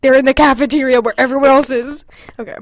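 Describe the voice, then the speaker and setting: A woman's voice: sits high, fairly even intonation, fast, no echo. A young adult woman hosting a podcast, casually reading old fan fiction aloud with giggly, sweary comic asides. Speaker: podcast host.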